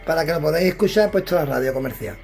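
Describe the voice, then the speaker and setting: A man's voice: fairly high pitch, expressive, rapid-fire, darkish tone, soft background music. A middle-aged man from chatting casually indoors as he demonstrates radio equipment, thinking aloud while he fiddles with the devices. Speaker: radio gear reviewer.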